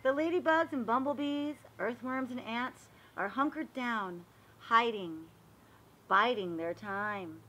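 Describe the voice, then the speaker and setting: A woman reading a picture book aloud to young children, animated and expressive, pausing to explain and ask questions. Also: on the high side. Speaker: read-aloud storyteller for children.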